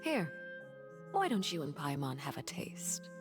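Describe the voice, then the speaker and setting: A menacing lady commander from a video game. Her voice sits low and she keeps a polite, measured poise that hides a threat. Music plays clearly behind her. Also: huge pitch swings, exaggerated voice. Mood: courteous, composed. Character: poised, menacing woman, head of a villainous order.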